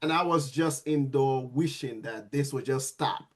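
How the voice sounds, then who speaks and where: A man, a nurse guesting on a podcast, telling his story in a lively way with frequent restarts. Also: mid-range voice, measured pace. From Sierra Leone. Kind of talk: casual storytelling interview.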